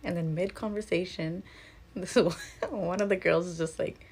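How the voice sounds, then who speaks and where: A young woman telling a casual story. Her voice sits low and sounds husky and mellow, and she talks in a mocking, exasperated way. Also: steady tone, moderate pace.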